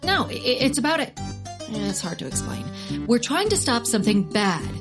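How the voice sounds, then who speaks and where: A woman's voice: on the high side, sing-song, a little fast, music in the background. A woman playing a determined, impatient amateur sleuth in a comedic audio drama.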